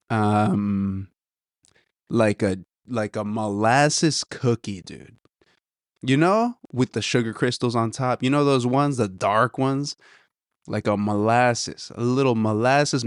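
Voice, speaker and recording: A man's voice: medium pitch, clear, resonant tone, no echo, clean studio sound. A man hosting a podcast, chatting casually and rambling as he reviews a coffee, a little nasal, saying "dude" and "you know" often. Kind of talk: casual solo chat.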